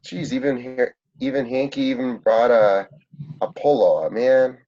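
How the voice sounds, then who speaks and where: A man's voice: mid-range voice, a little slow, mellow. Congested joking man on a muffled call. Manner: joking, laughs often. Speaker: student team member. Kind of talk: casual video call chat. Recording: dull lo-fi call audio.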